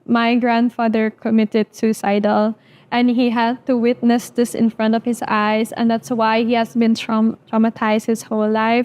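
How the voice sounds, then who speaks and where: A young woman whose first language is Danish, talking earnestly in an interview and pausing often with fillers. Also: a little high, a little mellow. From Denmark. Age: young adult. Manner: earnest, halting with frequent fillers. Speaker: interview guest. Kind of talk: personal interview.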